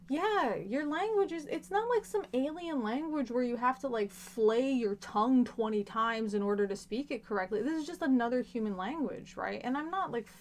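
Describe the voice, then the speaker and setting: A woman's voice: medium pitch, a little hiss or hum. A woman hosting a casual livestream, chatting to viewers and acting out bits of dialogue as she tells stories. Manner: storytelling with laughs and mimicked dialogue. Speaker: livestream host.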